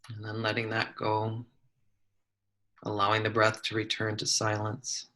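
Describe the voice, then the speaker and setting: A man leading a guided meditation, giving gentle, measured instructions with long pauses between phrases. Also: fairly low pitch, monotone.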